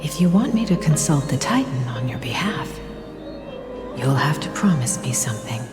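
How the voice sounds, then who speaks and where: A woman playing a gentle, dignified mother and temple priestess in a game cutscene, tender, measured and softly breathy. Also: a bass voice, big pitch swings. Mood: hushed, reassuring. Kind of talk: animated game cutscene acting. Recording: voice over clear background music.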